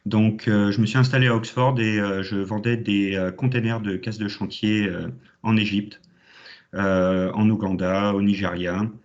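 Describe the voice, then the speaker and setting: A man's voice: fairly low pitch, fairly even intonation, unhurried. A man in his thirties from the Champagne region of France, giving an enthusiastic alumni talk with many hesitations over a video call. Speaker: alumni guest speaker. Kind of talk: online conference talk. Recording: dull lo-fi online call audio.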